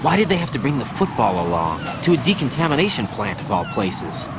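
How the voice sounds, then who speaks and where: A man's voice: mid-range voice, sing-song, a little fast, noisy background, natural voice. An actor playing an earnest, uneasy young soldier in a video game, questioning what he is told. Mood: incredulous. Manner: questioning, incredulous. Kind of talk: video game cutscene voice acting.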